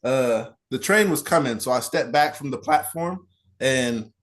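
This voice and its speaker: A man's voice: mid-range voice, lively intonation, measured pace, a bit bright. A man in casual podcast chat, telling his own stories in a laid-back way and giving short agreeing replies.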